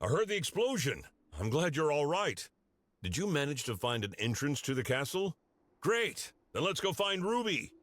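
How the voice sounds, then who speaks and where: A grave warrior father from a fantasy game. His voice sits low, and he speaks with dramatic earnestness, as a devoted protector who was once a cursed werewolf. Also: heavily acted. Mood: relieved, eager. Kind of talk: scripted video game character dialogue.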